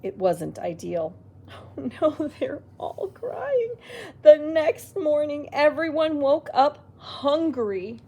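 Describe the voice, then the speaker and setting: Female library storyteller, unhurried picture-book read with lots of swoops and small reacting asides. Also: sits high, highs cut off. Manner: expressive, exclaiming little reactions. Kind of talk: reading a picture book aloud to children with asides.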